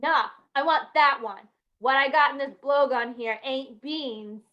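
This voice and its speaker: A woman's voice: high-pitched, a little slow, highs cut off. A woman playing a tough, bratty boy in a 1950s radio play, talking in defiant, mouthy slang. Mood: belligerent.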